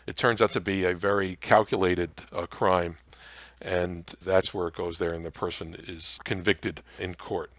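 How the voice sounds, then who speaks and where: An American man presenting an informal history lecture, explaining in an anecdotal way with halting phrasing. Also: sits low, fairly even intonation, a bit bright, no echo. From Mount Vernon area, New York, United States. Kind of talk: informal slide lecture on old-time radio history.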